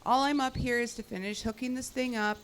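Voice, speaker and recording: A woman's voice: fairly high pitch, fairly even intonation, brisk, close to an everyday voice. A woman playing a confident, sarcastic tower technician in a live audio drama, with a teasing manner. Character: Cheri, sardonic, bold, outdoorsy female tower technician. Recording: noisy live stage recording with clicks.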